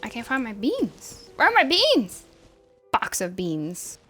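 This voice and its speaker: A woman giving casual, expressive reactions as she plays through a game, swearing freely. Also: fairly high pitch, takes it slowly, husky. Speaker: streamer, VTuber. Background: game music.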